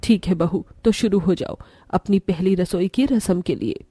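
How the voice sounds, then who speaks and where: A woman's voice: lowish voice, normal speed, dark tone, slightly rough, no room sound. A woman voicing a commanding, wealthy mother-in-law with an authoritative manner in a cartoon story. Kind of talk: Hindi cartoon story voice acting.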